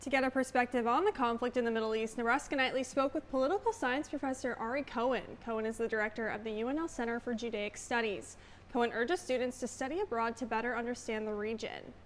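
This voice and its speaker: A woman's voice: a little high, fast, slight background noise. A young woman anchoring a student newscast, reading the script with upbeat delivery. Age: young adult.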